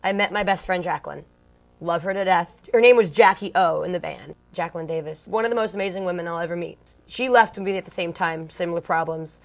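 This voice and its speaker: A woman's voice: lowish voice, a little fast, gravelly. A young woman from Long Beach, California, a punk band singer telling her story in an interview, chatty and blunt, full of "like" and "um". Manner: blunt, slangy, swears casually.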